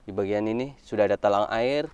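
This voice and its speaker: A man's voice: on the low side, fast, darkish tone, clear, resonant tone, dull audio. A man giving a casual walkaround car review, explaining things informally point by point.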